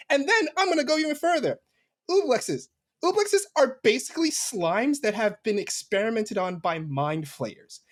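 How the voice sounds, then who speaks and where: An animated podcast panelist talking tabletop games with real emphasis. The pitch swings widely from phrase to phrase, and the delivery is informal and excitable. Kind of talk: animated tabletop gaming discussion.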